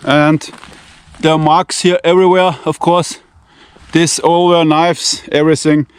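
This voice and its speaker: A man's voice: a little high, level tone, a little slow, clean tone. A man with an Austrian German accent, a non-native English speaker, talks bluntly and profanely in broken English while walking through fields and vineyards. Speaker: knife-testing channel host. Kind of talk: casual walking vlog. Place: outdoors among vineyards and fields.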